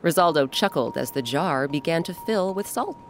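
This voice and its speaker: A woman narrating a scripted children's story as host, with dramatic, expressive, deliberate phrasing. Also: low-pitched, a little hiss or hum. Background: music.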